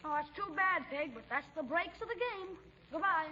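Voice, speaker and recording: A woman's voice: very high-pitched, expressive, normal speed, sharp tone, heavily acted. A woman playing a brash, wisecracking young boy in 1940s radio comedy style. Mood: breezy. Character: cheeky, argumentative young boy, wisecracking nephew. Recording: dull, noisy old radio recording, audience.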